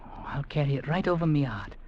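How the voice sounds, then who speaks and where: A man's voice: a little high, expressive, quick pace, dull audio, a little hiss or hum, lightly acted. An actor playing a cheeky, earnest young British army drummer boy in an old-time radio drama. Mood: tender, manly bravado. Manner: earnest, cocky, deferential to officers.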